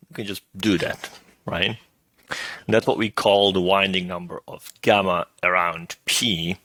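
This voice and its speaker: A lower-voiced middle-aged man with a touch of hoarseness, teaching university math from home. He talks in a relaxed, meandering way, pausing on fillers and tossing in "right?" as he works through each idea. The sound is dry and close, with no room around it. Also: no room sound. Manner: relaxed, thinking aloud with many "uh" and "right?". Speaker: math professor. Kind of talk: recorded lecture over slides.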